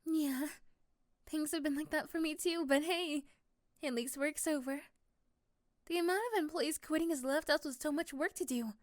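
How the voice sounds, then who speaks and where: A woman's voice: sits high, moderate pace, dry recording, clean studio sound, lightly acted. A woman playing a sweet, anxious young office worker and crush in an ASMR roleplay drama. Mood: friendly, relieved.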